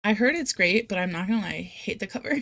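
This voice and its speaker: A woman's voice: mid-range voice, animated, fast, gravelly, fairly bright tone, no echo, studio quality. A woman with a Rhode Island accent hosting a casual live chat, talking in a chatty, self-deprecating, giggly and playfully dramatic way. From United States, Rhode Island. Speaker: live stream host of reading sprints.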